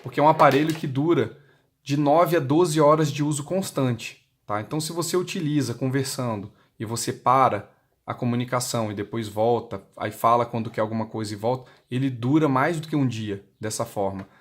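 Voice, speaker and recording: A man's voice: mid-range voice, fairly quick. A man with a Brazilian Portuguese accent giving an unscripted, step-by-step product explanation in a plain, instructional manner. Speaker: intercom seller giving a product demonstration. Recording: clearly noisy recording with clicks.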